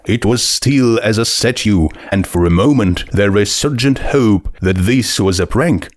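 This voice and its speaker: A man's voice: on the low side, unhurried, no room sound. A man reading a scripted story aloud as narrator. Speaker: narrator of a fan fiction reading.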